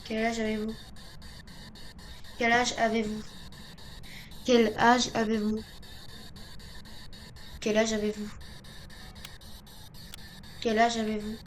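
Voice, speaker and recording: A woman's voice: a little high, completely flat delivery, slow, long pauses, rough recording. A woman giving casual video game commentary, thinking aloud to herself and often repeating her phrases. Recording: clear background noise, game ambience.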